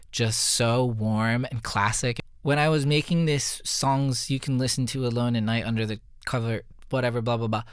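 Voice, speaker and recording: A man's voice: medium pitch, smooth, no echo, studio quality. A man talking casually and informally, as a musician walking listeners through a recording. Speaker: musician breaking down own recorded song. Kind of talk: casual behind-the-music walkthrough.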